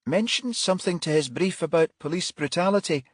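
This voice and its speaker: A man's voice: on the high side, animated, a little fast, no room sound, clean studio sound, voice pushed up. A man voicing a tactful, earnest woman detective in her twenties for an audiobook. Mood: informative.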